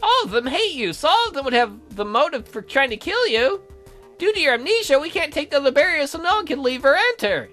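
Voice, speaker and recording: A woman's voice: sits high, sing-song, brisk, fairly bright tone, soft background music. A woman voicing a fussy, devoted magical attendant in a visual novel, prim and snippy but deferential to a lady. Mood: earnest, worried.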